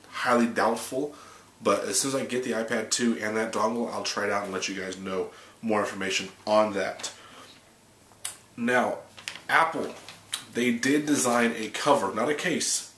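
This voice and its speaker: A man's voice: fairly low pitch, normal speed, fairly bright tone. A man in his thirties with a stuffy cold, hosting a chatty, upbeat tech news recap to a webcam in a small home office, reading from notes with restarts. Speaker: tech video host. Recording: echoey room, faint room hum.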